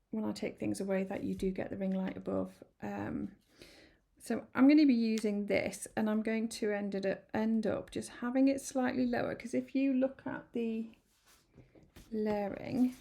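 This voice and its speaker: A woman chatting through a card-making tutorial, a little croaky from hay fever, rambling and apologetic with many ums. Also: a little high, warm tone. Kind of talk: casual craft tutorial. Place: home craft room.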